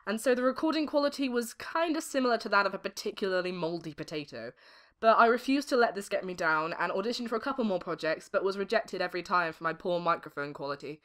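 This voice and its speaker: A woman's voice: mid-range voice, fairly bright tone. A British woman in her late teens giving articulate, formally worded interview answers as a voice actor. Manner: articulate, formal wordy phrasing.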